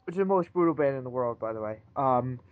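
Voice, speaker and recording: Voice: medium pitch, lively intonation, quick pace, phone-quality sound, background noise. A video co-host in casual, joking chat, rambling through mock explanations.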